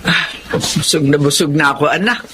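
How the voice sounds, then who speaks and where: A woman's voice: sits low, normal speed, pushed and bright. A woman playing a middle-aged mother, grateful and remorseful, in a radio drama. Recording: clear background noise.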